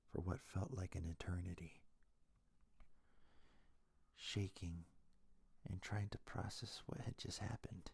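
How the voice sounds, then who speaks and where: A man softly telling a scary story in ASMR style, breathy and hushed, in short deliberate phrases close to the mic. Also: sits low, no room sound. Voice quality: breathy, whispery, husky. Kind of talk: ASMR soft-spoken scary story narration. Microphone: close mic, dry.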